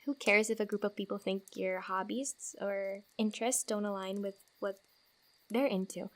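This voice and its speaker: A woman playing a friendly, teasing fantasy wanderer who gives comfort in a soft-spoken, giggly, rambling ASMR roleplay. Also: medium pitch, steady tone, moderate pace, natural voice. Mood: encouraging.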